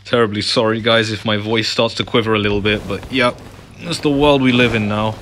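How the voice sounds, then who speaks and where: Low-voiced man doing game playthrough. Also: steady tone, fairly quick, a bit bright, slight background noise. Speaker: gaming video host. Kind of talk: playthrough commentary, reading dialogue aloud. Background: game music, faint.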